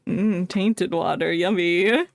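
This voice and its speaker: A woman's voice: fairly low pitch, takes it slowly, gravelly, dry recording. A woman game streamer giving casual, playful running commentary and jokes during a playthrough. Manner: joking, playful. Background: faint game music.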